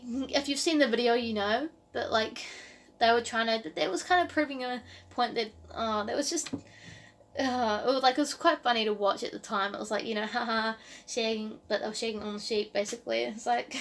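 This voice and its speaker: A woman in her early twenties with a New Zealand accent, talking casually to camera as a vlogger in a rambling way full of "like" and "um". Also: on the high side. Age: early 20s.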